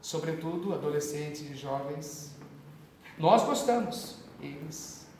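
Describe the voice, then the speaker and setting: Brazilian priest teaching in an echoey hall. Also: male voice, fairly high pitch, slow, a little hiss or hum. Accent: Brazilian Portuguese, São Paulo. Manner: animated, conversational, with asides and jokes. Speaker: priest giving catechist training. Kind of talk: informal teaching talk to parish catechists. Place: parish hall. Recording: echoey parish hall from far off.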